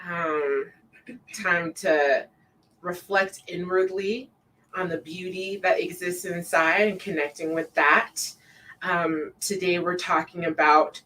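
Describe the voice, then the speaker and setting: Slow-talking woman live-stream host. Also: distant mic, rough recording. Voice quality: says her own voice sounds deep to herself. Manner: relaxed, many fillers, reads viewer comments aloud. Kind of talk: casual live-stream chat.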